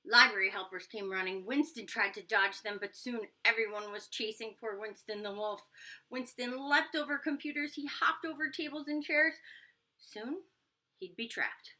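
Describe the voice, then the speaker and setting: A woman reading a children's storybook aloud expressively, pausing to put questions to her young listeners. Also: a little high, sharp tone. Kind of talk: animated children's read-aloud with asides to listeners.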